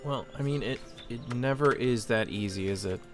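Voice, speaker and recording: A man's voice: mid-range voice, moderate pace. A man giving casual let's-play commentary, musing aloud as he thinks through puzzles. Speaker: gaming host, player. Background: game music.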